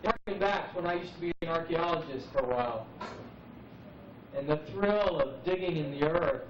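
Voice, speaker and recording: A man in his forties speaking as a poet at an open mic, musing reflectively and unhurriedly with dramatic pauses. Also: on the high side. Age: 40s. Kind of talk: live spoken-word musing. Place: live open mic venue, roomy. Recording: muffled noisy VHS recording, echoey, distant.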